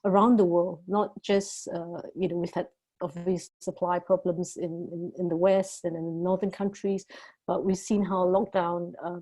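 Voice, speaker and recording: A woman's voice: on the low side, warm tone, low-quality recording. A woman from Malaysia, giving expert remarks in a panel discussion. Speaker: NGO researcher, expert panel speaker.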